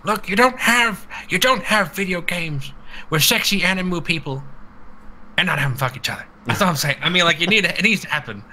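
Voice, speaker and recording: A man's voice: sits high, animated, fairly quick, sharp tone. A man co-hosting a casual podcast, telling rambling stories in a crude, profane way. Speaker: podcast co-host. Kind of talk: casual podcast banter and storytelling.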